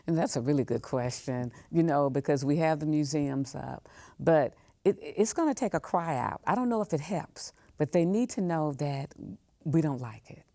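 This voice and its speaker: A woman's voice: sits low, expressive, normal speed, warm tone, dry recording. A woman speaks reflectively as an interview guest, repeating phrases for emphasis. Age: 60s. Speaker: museum professional, podcast guest.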